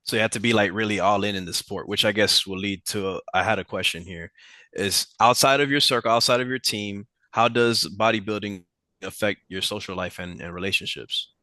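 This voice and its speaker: Host: man, low voice with a bright, forceful edge, dry close sound, relaxed. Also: brisk, no echo. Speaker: podcast host. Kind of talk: casual podcast interview.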